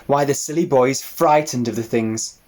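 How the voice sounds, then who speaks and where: A man voicing a motherly female sheepdog who talks in a brisk, soothing way, in an audiobook. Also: medium pitch, darkish tone, close to an everyday voice. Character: motherly female sheepdog, kindly but sharp-tongued. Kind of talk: audiobook character voice.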